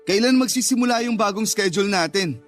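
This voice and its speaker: Casting: insistent divorced Filipino dad, aggrieved everyday talk, radio drama with a light music bed. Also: male voice, sits high, quick pace, fairly bright tone. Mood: settling, still stubborn. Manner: insistent, complaining, conversational. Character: aggrieved, stubborn divorced father standing his ground. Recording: music under the voice.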